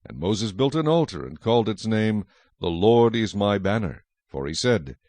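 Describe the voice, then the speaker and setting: A man narrating scripture from a script as a solemn, measured storyteller. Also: lowish voice, big pitch swings, a little mellow, no room sound.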